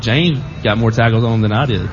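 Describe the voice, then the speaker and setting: A young man, a football player guest, talking casually in an interview, halting and full of fillers. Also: mid-range voice, soft background music. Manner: laid-back, halting, many fillers. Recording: dull lo-fi audio.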